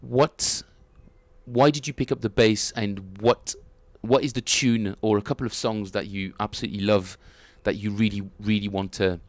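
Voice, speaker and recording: A man with a French accent, chatting casually and self-mockingly with viewers as he streams his bass practice, often chuckling. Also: fairly even intonation, moderate pace, dry recording. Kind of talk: casual livestream chat.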